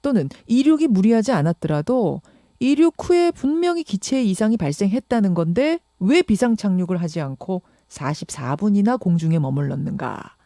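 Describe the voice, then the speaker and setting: A woman's voice: mid-range voice, sing-song, moderate pace, warm tone, no echo, studio quality. A woman hosting a radio news show, interviewing in a conversational, engaged way and restating what she hears. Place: radio studio. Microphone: close studio microphone.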